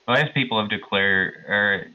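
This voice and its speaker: A man's voice: fairly low pitch, a little fast, narrow, tinny audio. A man playing a tabletop game, chatting casually and thinking aloud in a hesitant way. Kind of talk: casual chat.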